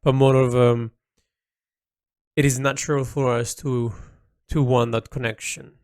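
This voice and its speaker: A man speaking a reflective audio diary as an artist, halting and introspective as he searches for words. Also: medium pitch, level tone, darkish tone, no echo, clean studio sound. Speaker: artist recording an audio log.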